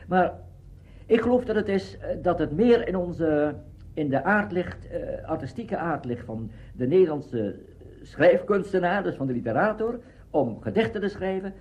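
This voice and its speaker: A lo-fi interview recording of a man with a rather high, mellow voice. He talks spontaneously with very lively intonation, feeling for his words as he explains. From Netherlands. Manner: searching for words, seeking agreement with tag questions. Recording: dull lo-fi archive interview recording.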